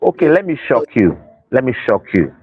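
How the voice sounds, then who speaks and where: A man's voice: medium pitch, very animated, fairly quick, breathy edge, dry recording, muffled recording. A man with a Nigerian accent explaining things as an interview guest, unscripted, often repeating and rephrasing his phrases. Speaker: interview guest, festival organiser.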